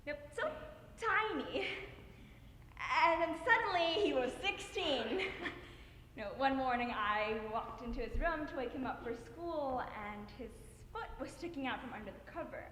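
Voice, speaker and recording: A young woman performing a stage monologue as a tender, grieving middle-aged mother, with halting, theatrical emotion. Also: sits high, expressive, bright, forceful tone, exaggerated voice. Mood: fond, wistful. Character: grieving mother Madeline, anguished and tender, reliving loss. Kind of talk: dramatic interpretation monologue on stage. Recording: echoey hall with noise.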